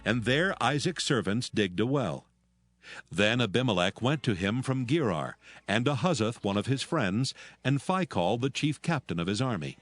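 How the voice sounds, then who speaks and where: A man narrating scripture from a script in a formal, measured manner. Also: medium pitch, very animated, no echo. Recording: music behind. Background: music.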